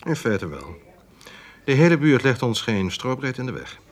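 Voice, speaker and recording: An actor playing a polite but insistent government official in a radio drama, formal and quietly pressuring. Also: male voice, mid-range voice, sing-song, normal speed. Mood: courteous.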